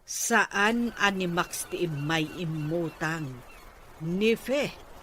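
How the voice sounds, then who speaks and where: A woman's voice: lowish voice, extremely slow, fairly bright tone, slight background noise. A woman playing an inquisitive, gossipy village auntie in a radio drama, full of questioning.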